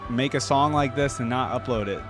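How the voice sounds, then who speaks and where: A man's voice: medium pitch, a little fast, over music. A man giving casual reaction commentary as a host, speaking informally and thinking aloud.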